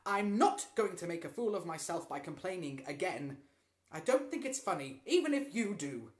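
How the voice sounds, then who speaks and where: A reader voicing a fussy mother who scolds in a shrill, exclaiming way. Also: sing-song, normal speed, natural voice. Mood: exasperated. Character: fussy, easily exasperated mother.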